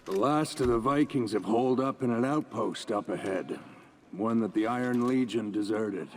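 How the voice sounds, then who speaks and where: An actor playing a stern, proud knight commander in a fantasy video game, with a commanding, formal manner. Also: male voice, on the low side, normal speed, slight background noise, lightly acted. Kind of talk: scripted game dialogue, ceremonial at times.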